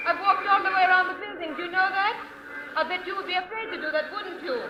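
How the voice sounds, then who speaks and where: Desperate woman, female, vintage radio melodrama: very high, fragile, unsteady, muffled sound over loud crowd. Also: fast talker. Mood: dazed, defiant. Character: distraught, unsteady woman threatening to jump from a ledge. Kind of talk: 1940s radio melodrama acting. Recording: dull old radio, loud music and crowd.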